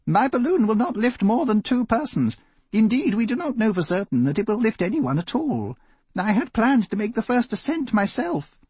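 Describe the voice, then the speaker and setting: A man voicing a fussy, nervous older male inventor in a high fretful tone. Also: very animated, normal speed, a little mellow, breathy edge, dry recording, full character voice. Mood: anxious.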